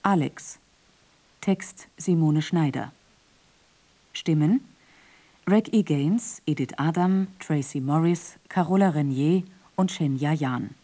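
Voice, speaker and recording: A woman announces credits for radio. Her voice is lowish and mellow. She reads formally from a script at a very unhurried pace.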